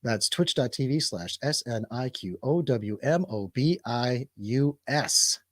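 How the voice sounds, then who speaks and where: A man's voice: mid-range voice, animated, measured pace, no room sound, studio quality. A man hosting a casual movie review radio show.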